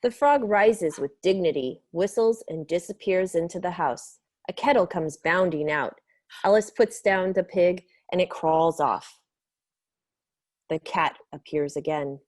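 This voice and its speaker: A woman's voice: lowish voice, unhurried, darkish tone. A woman narrator reading scripted stage directions for a children's story in a dramatic reading.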